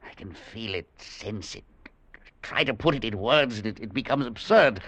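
An actor playing a nervous, obsessed male professor in an old-time radio drama, speaking in an agitated, halting, intense way. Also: fairly low pitch, expressive, fairly bright tone, slightly rough. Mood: obsessed, strained. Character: nervous, obsessed male scientist professor haunted by an unseen presence.

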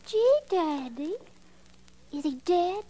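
Very high-voiced ditzy moll. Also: female voice, lively intonation, slow pace, warm tone, heavily acted, low-quality recording. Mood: innocent wonder. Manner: babyish, dim-witted. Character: ditzy, childlike young gangster's moll, naive and chirpy. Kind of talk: 1940s radio acting.

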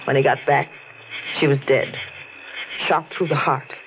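A woman acting an intense, troubled, secretive young woman in a 1940s radio melodrama, with deliberate, dramatic phrasing. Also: very deep voice, steady tone. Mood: somber recounting. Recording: faintly noisy, narrow-band old radio recording.

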